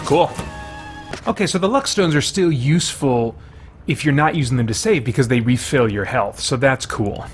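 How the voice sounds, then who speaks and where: A man's voice: mid-range voice, animated, moderate pace, faint music behind. A man giving casual first-impressions commentary on a video game, conversational and thinking aloud as he goes.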